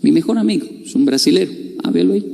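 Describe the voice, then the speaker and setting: A man's voice: on the high side, lively intonation, rapid-fire, vocal fry, warm tone. A middle-aged man gives a storytelling talk, playful and confiding, and acts out other people's voices. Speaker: speaker. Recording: long hall reverb.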